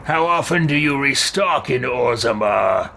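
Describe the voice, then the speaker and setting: Creaky, bright fantasy adventurer. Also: male voice, mid-range voice, expressive, a little slow, slight background noise, exaggerated voice. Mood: curt. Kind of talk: scripted fantasy game dialogue.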